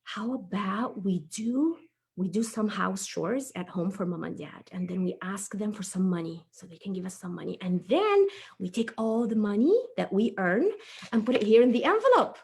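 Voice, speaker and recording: A woman's voice: mid-range voice, expressive, moderate pace, creaky, a little mellow. Children's puppet show: chatty, conscientious young child played by a woman, thinking aloud in simple words. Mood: thoughtful, earnest.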